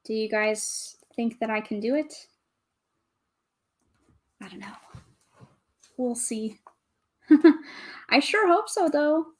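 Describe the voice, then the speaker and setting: A woman with a calm, soothing voice, chatting casually with viewers as a livestream host, greeting and thanking them as she goes. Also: fairly high pitch, slow, long pauses. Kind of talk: casual livestream chat while building.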